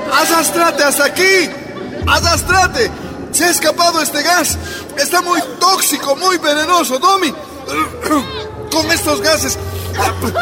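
Minero boliviano protector, voz aguda. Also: male voice, extremely high pitch. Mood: urgent, alarmed. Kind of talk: radio drama acting. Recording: music and noise behind.

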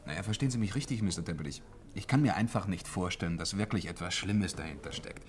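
A man's voice: low voice, animated, quick pace, a little hiss or hum. An actor playing an affable, deferential businessman in a 1950s German radio play, chatty and a little hesitant. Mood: earnest, doubtful. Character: affable, eager, polite businessman making small talk.

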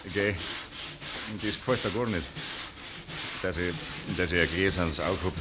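An actor playing a blunt, flirtatious inn servant with a Bavarian accent in a 1950s radio play. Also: male voice, deep voice, normal speed, lightly acted. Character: forward, teasing male inn servant courting a maid. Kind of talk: 1950s German dialect radio play acting. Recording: very noisy 1950s radio recording.

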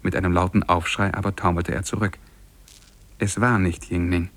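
An actor playing a lovestruck young scholar who tells his own story in measured, lyrical German radio-play style. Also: low voice, little pitch movement, close to an everyday voice. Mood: fond, measured.

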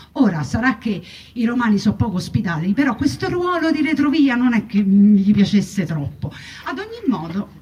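Female monologue performer, soft dark tone, theatrical and ironic, rhetorical delivery; dull recording with faint background noise. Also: medium pitch. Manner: emphatic, rhetorical repetition with wry irony. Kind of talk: theatrical narrative monologue on wartime history. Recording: muffled, distant mic with background noise.

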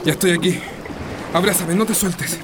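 A man's voice: high-pitched, fairly even intonation, moderate pace, heavy background noise, lightly acted. A man playing a brave, devoted young rescuer in a radio drama. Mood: reassuring.

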